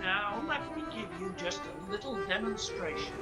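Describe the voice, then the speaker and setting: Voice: medium pitch, animated, moderate pace, lightly acted. An actor playing a brash, slick street hawker, delivering grandiloquent sales patter in a theatrical radio play style. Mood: slick, showy. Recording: streamed radio play with music underneath.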